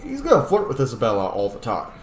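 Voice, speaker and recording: A woman's voice: rumbling low, huge pitch swings, quick pace, darkish tone. A woman giving casual commentary while she roleplays through a video game as the host. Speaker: gamer, let's play host. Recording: roomy echo, faint game music.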